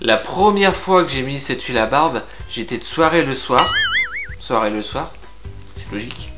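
A man's voice: mid-range voice, over loud music, over a noisy crowd. Chatty young man reviewing beard products. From France. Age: young adult. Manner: lively, frank, chatty with filler pauses. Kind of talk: casual product review to camera. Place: indoor room with shelves of figurines.

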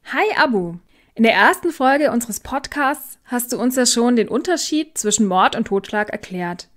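A woman's voice: fairly high pitch, lively intonation, normal speed, soft background music. A woman presenting and asking questions as a podcast host.

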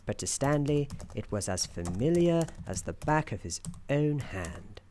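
A soft-spoken woman narrating a scripted ASMR roleplay in a measured, deliberate, theatrical storyteller manner. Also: very deep voice, very animated. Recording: keyboard clicks and faint noise. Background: computer keyboard typing.

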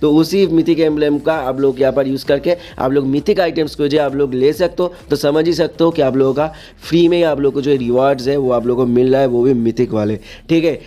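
Fast-talking, mellow-voiced man explaining game events. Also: medium pitch, steady tone, highs cut off. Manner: repetitive, uses frequent check-in tags and English loanwords. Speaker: gaming video host. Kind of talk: casual explainer narration over game screens.

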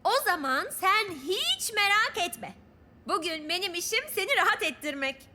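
A woman voicing an eager, meddlesome, cheerful little girl in a children's cartoon, with a fussy, expressive way of talking. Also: extremely high pitch, moderate pace, slight background noise, full character voice. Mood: eager, helpful. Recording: music behind the voice.

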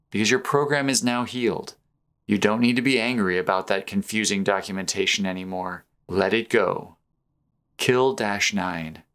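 A man narrating a guided meditation in a slow, soothing, measured and earnest way. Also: medium pitch, fairly bright tone. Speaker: meditation guide, programming teacher.

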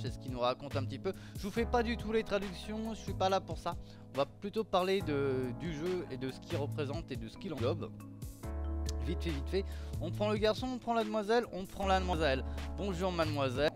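A middle-aged man casually presenting a video game, conversational, repeating words and phrases for emphasis. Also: high-pitched. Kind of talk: casual game showcase commentary. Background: music.

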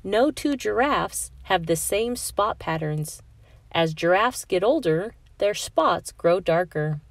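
Woman, children's read-aloud narrator, unhurried slow delivery, medium pitch, dry recording. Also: animated, dry recording. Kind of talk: scripted picture-book narration.

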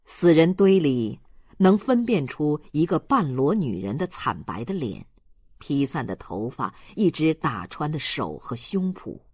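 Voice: mid-range voice, big pitch swings, measured pace, a little mellow, husky, no echo, studio quality. A narrator reading literary fiction aloud in a dramatic storytelling manner. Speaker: audiobook narrator.

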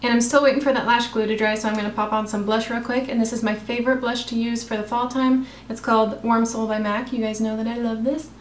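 A young woman, a makeup vlogger, chatting casually through a tutorial in her apartment, her voice a bit raspy, talking while she works. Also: fairly high pitch, little pitch movement, quick pace, hardly any pauses, roomy sound, slight background noise. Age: young adult. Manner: chatty, self-deprecating, talks while applying makeup. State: slightly raspy voice. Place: small room in her apartment. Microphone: camera close in front of face.

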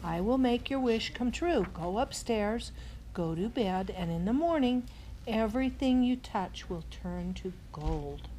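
A woman voicing a little leprechaun man who grants wishes in a measured, cautioning way. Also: sits high, animated, darkish tone. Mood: calm warning. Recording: clearly noisy room recording.

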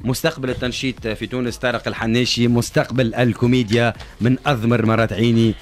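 A Tunisian man in his thirties hosts a live radio show at a very rapid clip. His voice sits at a middle pitch and he drops French expressions into his talk. He is close on a studio microphone. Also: little pitch movement, a little hiss or hum. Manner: sprinkles French words into his speech. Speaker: radio host. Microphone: close studio microphone on arm.

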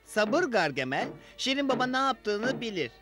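Very high-voiced little cartoon creature. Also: male voice, very animated, normal speed, heavy background noise. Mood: practical. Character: blunt, practical little male blue creature. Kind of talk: cartoon dub acting. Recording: music behind the voice.

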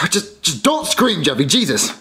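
A man's voice: on the high side, very animated, measured pace, pushed and bright, slight background noise, full character voice. A man playing an exasperated, scolding father in a comedy puppet skit, pleading and nagging until he loses his temper. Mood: weary, exasperated.

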